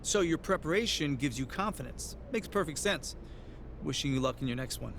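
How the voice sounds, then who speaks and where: Game cutscene: friendly press reporter, polite questions, noisy capture. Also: male voice, on the high side, animated, fairly quick. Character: friendly male sports reporter, adult. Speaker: sports reporter.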